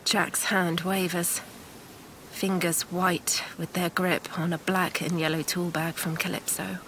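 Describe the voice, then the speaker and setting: A woman's voice: fairly low pitch, flat delivery, a little slow, a bit bright, slight background noise, low-quality recording. A woman playing a desperate, protective mother at sea in an audio drama, narrating in the first person with intense emotion. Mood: tense, bleak. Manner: intense, emotionally charged delivery.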